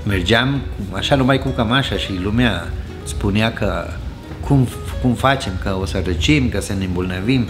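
Costa Rican man in his sixties, low voice. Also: over music. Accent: Costa Rican Spanish. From Costa Rica. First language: Spanish. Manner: warm storyteller, chuckles often, gestures with hands. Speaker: restaurant owner. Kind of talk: casual interview chat. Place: indoor restaurant bar.

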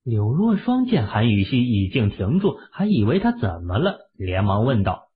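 A man with a raspy voice narrating an audio drama in a steady storytelling manner. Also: medium pitch, normal speed, phone-quality sound.

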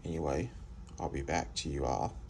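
A young man talking casually off camera while filming with his phone in a home workshop, rambling, excitable and often apologetic. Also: rumbling low, moderate pace, gravelly, a little hiss or hum. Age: young adult. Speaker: vlogger. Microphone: phone camera held by the filming speaker.

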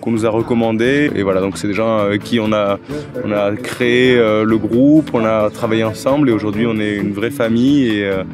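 A man, a musician talking in a relaxed outdoor interview, with many hesitations. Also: lowish voice, brisk, over music. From Toulouse, France. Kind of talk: casual interview. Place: outdoors against an old wall. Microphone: handheld mic held by interviewer.

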